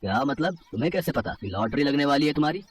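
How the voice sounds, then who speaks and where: A man's voice: on the high side, animated, fast, husky. A man playing a blunt, greedy street-food seller in a cartoon moral story, exclaiming and scoffing. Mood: gruff, scoffing. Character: grumpy greedy male golgappa street vendor. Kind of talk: animated Hindi moral story dialogue.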